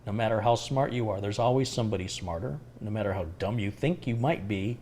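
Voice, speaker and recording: A middle-aged man talks in a calm, unhurried way, thinking aloud as he answers viewers on a live stream. His voice sits on the low side. A faint hum of room noise runs underneath. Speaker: tech livestream host answering viewer questions. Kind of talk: casual live Q&A chat.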